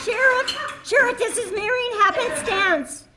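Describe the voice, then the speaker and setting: A woman playing a harried, bossy member of a scientist's household, brisk and melodramatic in a comedic audio drama. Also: very high voice, expressive, over loud music. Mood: urgent.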